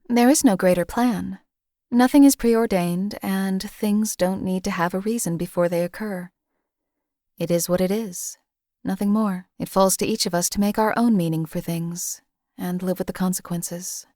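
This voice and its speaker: A woman voicing a cold, calculating female assassin companion in a game, with a calm, detached, clipped delivery. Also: lowish voice, no echo, clean studio sound, lightly acted. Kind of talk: game voice lines.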